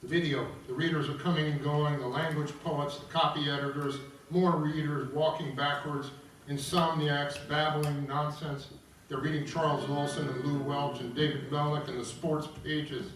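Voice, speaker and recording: A man reading stage directions as narrator at an opera table read, with a theatrical, deliberate storytelling delivery. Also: on the high side, level tone, a bit bright, recorded from a distance, a little hiss or hum. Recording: roomy echoing recording from afar, guitar music.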